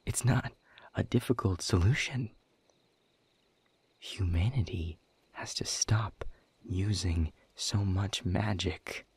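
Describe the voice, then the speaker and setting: Hopeless demon exile for audio drama, male actor: deep, very husky, grave and resigned with a sardonic edge. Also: slow, pauses often, a little mellow, full character voice. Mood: earnest, weary. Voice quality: husky, breathy, low. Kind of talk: intimate audio roleplay acting.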